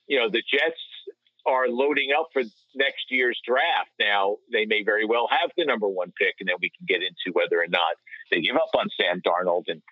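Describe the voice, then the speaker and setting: A man co-hosting a sports podcast, discussing things casually in a measured, conversational manner. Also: fairly low pitch, no echo. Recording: slightly dull lo-fi audio.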